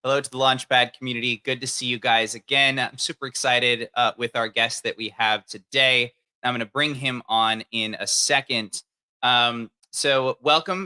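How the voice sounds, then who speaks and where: Man, livestream host, very bright tone, upbeat, chatty, filler words, video call sound. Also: medium pitch. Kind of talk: casual livestream hosting.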